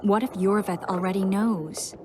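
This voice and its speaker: An actress playing a composed, caring young sorceress in a video game, speaking earnestly and in a measured way. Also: female voice, medium pitch, steady tone, noisy background, natural voice. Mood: questioning. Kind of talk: video game cutscene acting.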